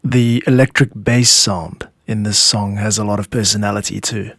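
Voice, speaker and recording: A man hosting an explanatory music podcast, speaking in measured, instructive phrases with deliberate pauses into a close microphone. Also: on the low side, steady tone, gravelly, fairly bright tone, husky, no room sound. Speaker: podcast host and composer explaining own music.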